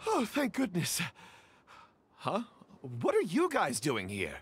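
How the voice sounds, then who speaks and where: An actor voicing a hapless, earnest young theatre player dressed up as a knight in a video game. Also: male voice, sits high, wildly swooping pitch, slow pace, a bit bright, a little hiss or hum, full character voice. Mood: sheepish. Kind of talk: anime-style video game dialogue.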